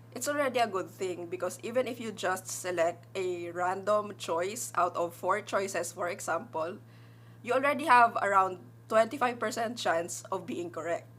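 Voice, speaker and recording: A woman's voice: mid-range voice, fairly bright tone, slight background noise. Woman with an Ilonggo accent explaining exam tips. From Philippines. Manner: mixes English and Tagalog, explanatory. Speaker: librarian giving exam tips. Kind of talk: casual instructional vlog.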